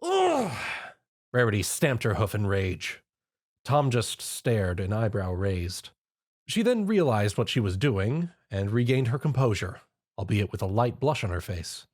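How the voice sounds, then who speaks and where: Man reading a story, slightly husky. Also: fairly low pitch, animated, measured pace, a little mellow. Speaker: narrator. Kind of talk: fan fiction reading.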